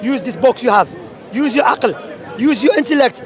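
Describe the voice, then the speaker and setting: A man's voice: very high-pitched, lively intonation, moderate pace. Insistent man preaching over a crowd. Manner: insistent, interrupting, quotes scripture. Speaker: street preacher. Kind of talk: street debate. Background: crowd chatter.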